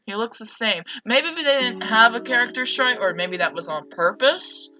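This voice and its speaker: A woman playing a visual novel and commenting casually, with slangy, expressive reactions. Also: fairly high pitch, normal speed, dull audio, music in the background. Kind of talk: casual let's play commentary.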